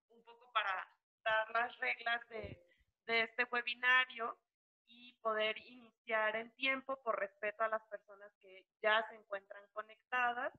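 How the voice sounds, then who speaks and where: Woman, webinar moderator, polite formal manner, filler words, fairly high voice, tinny phone-like video-call audio. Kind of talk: formal meeting introduction.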